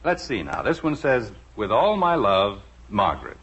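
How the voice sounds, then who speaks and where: A man's voice: lowish voice, wildly swooping pitch, normal speed, fairly bright tone, husky, heavily acted. A middle-aged American man playing a genial, wryly joking suburban father in a 1950s radio sitcom. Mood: pleased. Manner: wry, hesitant with frequent hemming. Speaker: lead actor in radio sitcom. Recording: muffled old radio recording, faint noise.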